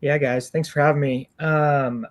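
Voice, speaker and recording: A man's voice: on the high side, steady tone, unhurried. A man in his twenties from Kansas, a podcast guest talking casually with lots of ums and likes. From Kansas, USA. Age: 20s. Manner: casual, with filler like "um" and "like". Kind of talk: casual chat.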